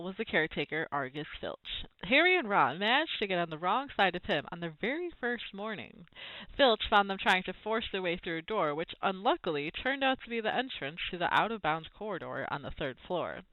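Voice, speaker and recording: Very animated woman reading a storybook aloud. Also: mid-range voice, normal speed, no room sound, highs cut off. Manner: dramatic, varied emphasis. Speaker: storybook reader. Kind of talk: expressive children's book read-aloud narration.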